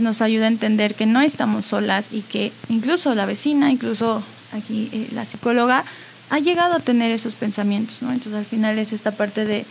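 A woman radio host with a fairly high voice, speaking in an earnest and encouraging way. She keeps it conversational and slips in little giggles, over a slight background hiss. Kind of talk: warm conversational radio sign-off.

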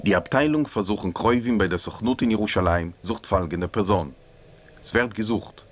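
A male announcer with a fairly low voice. He reads search notices formally, item by item. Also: fairly quick, over loud music. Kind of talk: formal reading of missing-person notices.

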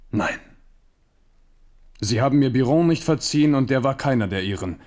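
Low-voiced, measured French king. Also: a little mellow. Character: weary, shrewd French king Henri, conciliatory but firm. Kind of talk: German radio play acting.

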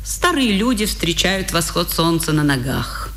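An actress playing a dignified, steadfast older mother in a 1950s radio drama, measured and dryly ironic. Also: lowish voice, very animated, a bit bright, exaggerated voice. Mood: guarded defiance.

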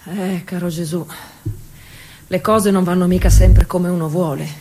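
A woman's voice: on the low side, fairly even intonation, noisy background. An actress playing a defiant working-class woman cyclist confiding in Jesus in a colloquial theatre monologue. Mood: weary, straining. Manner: confiding, colloquial, with drawn-out pauses and hushed asides. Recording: music playing behind the voice.

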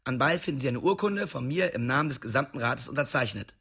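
Young prankster, husky voice, medium pitch, glib, cheeky, radio drama. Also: male voice, animated, quick pace, fairly bright tone, heavily acted. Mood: mock official. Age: young adult. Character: cheeky young prankster, narrator's small-town best buddy.

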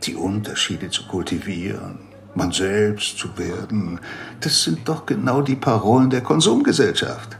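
An actor playing a grumpy, opinionated doctor in a radio drama, scornful and lecturing in a sardonic way. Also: male voice, fairly low pitch, lively intonation, measured pace, gravelly, a little hiss or hum. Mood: disdainful, lecturing. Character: grumpy opinionated older male doctor in Mexico, scornful and mocking.

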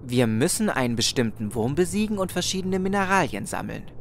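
An actor playing a deferential, earnest squire with a polite, drily sarcastic manner in a comedy audio drama. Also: male voice, a little high, big pitch swings, brisk, slight background noise. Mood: deferential, dry. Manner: polite, servile, dry.

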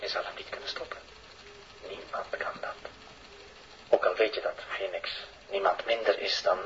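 An actor with a Flemish accent playing a fanatical, solemn young Satanist on a cassette recording in an audio drama, breathy and partly whispering. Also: male voice, lowish voice, little pitch movement, moderate pace. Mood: desperate, fanatical. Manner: solemn, grandiose, obsessive. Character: fanatical young male Satanist convinced he is chosen. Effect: played back from a cassette recorder. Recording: muffled, noisy cassette tape playback.

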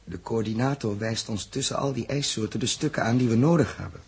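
A man's voice: mid-range voice, a little fast, darkish tone, breathy edge. An actor playing a matter-of-fact, reassuring spaceship crewman in a 1960s radio drama. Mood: firm, reassuring.

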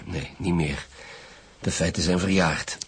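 An actor playing a commanding Flemish chief detective, direct and questioning, in a radio drama. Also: male voice, low voice, steady tone, unhurried, a bit bright, husky, heavily acted. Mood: matter-of-fact. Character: commanding, probing male chief police detective.

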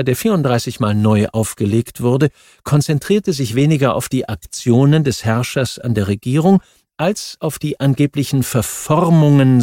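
A man reads non-fiction history as a scripted audiobook, measured and articulate. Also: medium pitch, mellow, no echo, clean studio sound. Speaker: audiobook narrator.

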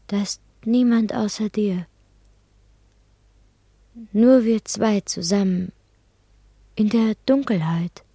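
An actress playing a frightened, childlike young woman in a trance in a German radio play, pleading in a soft, breathy voice. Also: medium pitch, slow pace, long pauses, no echo, clean studio sound. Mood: dazed, pleading.

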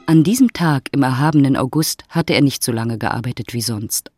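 A man's voice: medium pitch, animated, moderate pace, music in the background. A man narrating a literary radio drama in a scripted storytelling style.

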